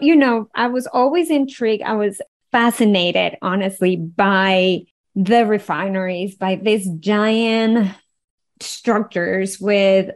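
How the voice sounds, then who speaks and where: A middle-aged woman from Venezuela with a Venezuelan accent, a podcast guest telling her story in an animated, playful way with frequent laughs. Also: medium pitch. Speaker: guest, wellness engineer. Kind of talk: conversational storytelling.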